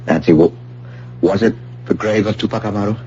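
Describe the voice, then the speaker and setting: A man's voice: lowish voice, brisk, dark tone, natural voice. An actor playing a proud, protective young male fiancé of Inca descent in an old-fashioned radio drama, grave and insistent. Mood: brooding, solemn. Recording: dull, hissy old radio broadcast with hum.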